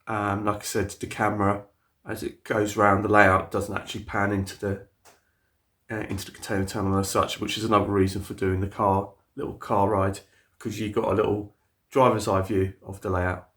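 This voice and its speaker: A man with a lowish, rather flat voice gives a casual tour of his model railway layout, indoors in a hobby room. He is a bit sniffly from a cold. He rambles, with plenty of ums and restarts. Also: brisk. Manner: rambling, many fillers and restarts. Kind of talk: casual walkthrough video.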